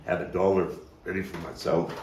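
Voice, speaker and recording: Guy doing a wry brooklyn street tough telling gang stories onstage, gravelly, echoey room, bit of noise. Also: low voice, steady tone, a little mellow. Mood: wry, nostalgic. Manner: dramatic storytelling with pauses and emphatic swells. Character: streetwise Brooklyn man reminiscing about his boyhood gang, coarse and wry. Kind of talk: theatrical monologue, table read. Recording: roomy, distant mic, faint noise.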